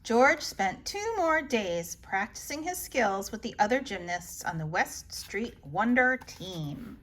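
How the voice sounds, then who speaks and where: A woman reading children's bedtime stories aloud as a library story-time host, in an animated, expressive manner. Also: mid-range voice, takes it slowly, a little hiss or hum. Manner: animated, asks the young listeners questions.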